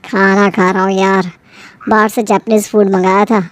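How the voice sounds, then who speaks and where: A woman's voice: mid-range voice, level tone, moderate pace, no echo, natural voice. A woman voicing a disgruntled, picky young adult son who grumbles casually. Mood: grumbling.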